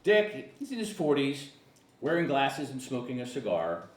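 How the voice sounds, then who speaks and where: Echoey distant recording, man, lively varied pitch, scripted screenplay narration. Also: moderate pace. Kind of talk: scripted narration at a table read. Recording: echoey room, off-mic, faint hiss.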